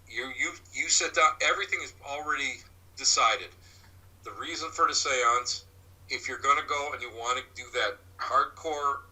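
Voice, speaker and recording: Man, loud voice, very forceful and tense tone, rambling with restarts, interview guest. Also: mid-range voice, rough recording. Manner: rambling, full of restarts and fillers. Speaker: paranormal investigator and medium. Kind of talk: interview guest, casual explaining.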